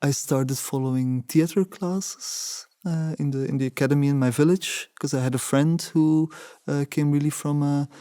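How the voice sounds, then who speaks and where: A man in his forties whose first language is Dutch, talking through his past in a measured, reflective way. He pauses and restarts often as he searches for words. His voice is mellow and even, recorded dry and close. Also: a little high, clear, resonant tone, no room sound. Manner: thoughtful, many hesitations and restarts. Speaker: interviewee, choreographer. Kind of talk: reflective interview answers.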